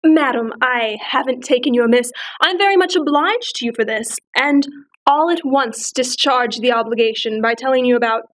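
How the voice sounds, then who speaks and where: A woman's voice: high voice, normal speed, a bit bright, low-quality recording. A woman playing a sardonic, mock-polite society lady who speaks in an arch, cutting way in classical verse.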